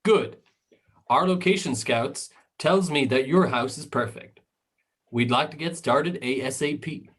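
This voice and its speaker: A man in an amateur radio drama playing a smooth-talking, businesslike Hollywood film director. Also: medium pitch, measured pace, fairly bright tone. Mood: smooth, persuasive. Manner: businesslike, persuasive.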